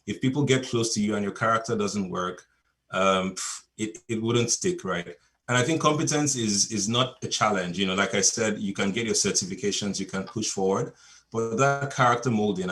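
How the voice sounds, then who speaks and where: Man from Nigeria, native Yoruba speaker, lowish voice, relaxed storytelling coach, video call. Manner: storytelling, relaxed, chuckles between points. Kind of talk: webinar talk to a video call.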